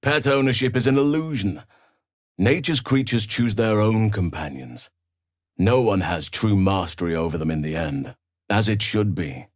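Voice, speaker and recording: An actor playing a calm, wise elven druid man in a video game, speaking in a measured, formal, earnest way. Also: lowish voice, no echo, studio quality. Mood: earnest, firm. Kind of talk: video game character voice lines.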